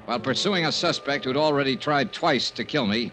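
A man's voice: mid-range voice, fairly quick, a bit bright, natural voice, rough recording. An actor playing a tough, shrewd male investigator in an old time radio mystery, speaking in a clipped, knowing way. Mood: terse narrating. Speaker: lead actor and narrator. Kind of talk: old time radio detective acting and narration. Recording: vintage radio recording with music.

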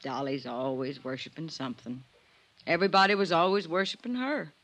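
An actress playing a resentful, folksy spinster housekeeper with clipped, sardonic talk in an old-time radio drama. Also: female voice, deep voice, steady tone, fairly quick, a bit bright. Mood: bitter, resentful.

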